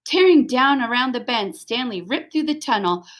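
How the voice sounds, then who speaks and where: A woman's voice: on the high side, animated, moderate pace. A woman reading a children's story aloud as scripted narration, expressively, with dramatic emphasis. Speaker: storybook reader.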